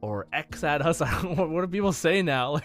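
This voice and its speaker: A man's voice: a little high, expressive, fairly quick. Casual male podcast host, music behind. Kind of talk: casual gaming podcast chat.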